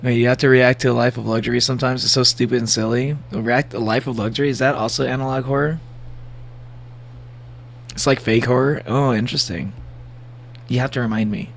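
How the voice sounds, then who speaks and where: A man with a gravelly voice giving a casual livestream reaction, rambling and joking playfully as he comments and reads bits aloud. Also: mid-range voice, steady tone, fairly quick, long pauses, a little hiss or hum. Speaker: streamer reacting to horror videos.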